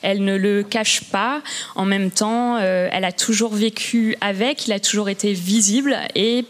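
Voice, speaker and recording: A woman, an art historian speaking on a public panel, pausing often with fillers and restarts. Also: mid-range voice, fairly even intonation. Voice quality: hesitant, many fillers and restarts.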